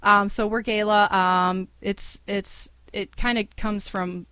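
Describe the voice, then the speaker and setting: A woman's voice: medium pitch, steady tone, slow, sharp tone, smooth. A middle-aged woman from Cleveland, a school's founding director, talking casually in an interview with many fillers and easy laughs. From Cleveland, USA.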